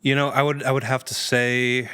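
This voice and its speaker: Male podcast guest, clean resonant voice at a middling pitch, casual and jokey interview chat. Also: level tone, normal speed, bright, forceful tone, clear, resonant tone.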